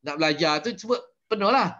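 A man's voice: fairly high pitch, expressive, fast, bright, forceful tone. A Malaysian man in his fifties, a patient English teacher coaching a student on a video call, switching between Malay and English. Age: 50s. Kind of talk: online conversation lesson. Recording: slightly dull video call audio.

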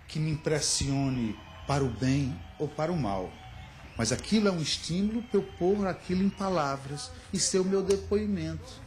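A man's voice: on the high side, a little mellow, a little hiss or hum. A man in his fifties giving a casual interview into a handheld mic in a small room, reflective and searching for words. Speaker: interviewed musician. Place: small indoor room backstage.

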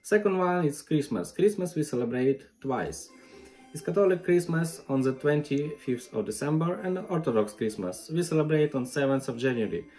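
Man, English, mellow, careful pace, soft music under. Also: a little high, lively intonation, moderate pace, rough recording. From Ukraine. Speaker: company employee. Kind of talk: recorded greeting and explanation.

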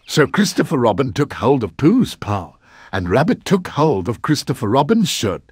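A man narrating a children's story in an expressive read-aloud style. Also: medium pitch, normal speed, slightly rough, no echo.

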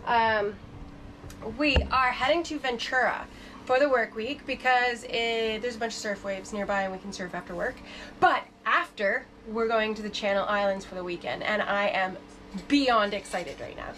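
A woman's voice: fairly high pitch, normal speed, a bit bright, slight background noise, poor audio. A woman in her thirties chatting casually to the camera about sailing life, upbeat and chatty with fillers. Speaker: sailing vlogger. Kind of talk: casual vlog talk to camera. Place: boat cabin, indoors.

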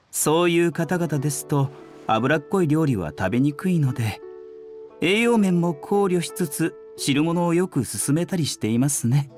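A man playing a courteous young herbal doctor in a video game, soft-spoken, polite and measured. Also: a little high. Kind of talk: Japanese game voice acting.

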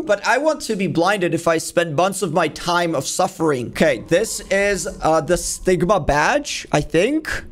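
A man in his twenties giving casual, jokey reaction commentary in informal language, as a video host. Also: high-pitched. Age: 20s. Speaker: YouTube host reacting to game cutscenes. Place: small home room, indoors.

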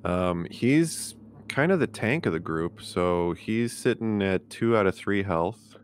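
A man's voice: deep voice, lively intonation, slow. A man chatting casually as a player in a tabletop game. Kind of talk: casual chat.

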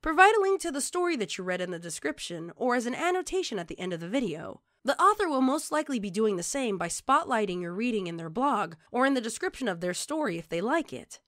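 A woman narrates a scripted how-to tutorial in a very lively, varied intonation. She speaks straight to the listener as an instructor. The recording is dry and close, with no room around the voice. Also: a little high, quick pace, hardly any pauses, dry recording. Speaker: tutorial presenter.

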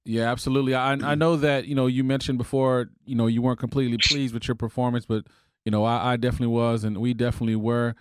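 A man's voice: mid-range voice, level tone, quick pace, clear, resonant tone, no room sound. Man, co-host, thoughtful, lots of fillers, dry sound. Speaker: podcast co-host. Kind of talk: casual interview.

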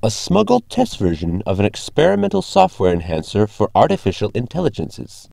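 A man's voice: lowish voice, huge pitch swings, brisk, creaky, warm tone, no echo, full character voice. An actor playing a logical, fussy male robot crewmate in a sci-fi comedy audio drama, speaking precisely and formally. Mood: matter-of-fact.

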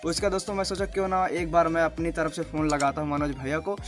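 A man's voice: on the high side, flat delivery, fast talker, fairly bright tone. A young Indian man in his late teens talking casually to camera as a video host. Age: young adult, late teens. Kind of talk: casual vlog talk to camera. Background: music.

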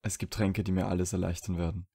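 Guy acting troubled young wizard, low mellow voice, dry and teasing, audio drama. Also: little pitch movement, quick pace, breathy edge, dry recording. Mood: strained, earnest. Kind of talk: fan fiction audio drama dialogue.

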